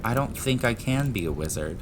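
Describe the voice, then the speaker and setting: A man's voice: medium pitch, expressive, brisk, background noise, close to an everyday voice. A man voicing a meek, polite young boy, hesitant and questioning, in a storybook audio drama. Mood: doubtful. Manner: quiet, hesitant.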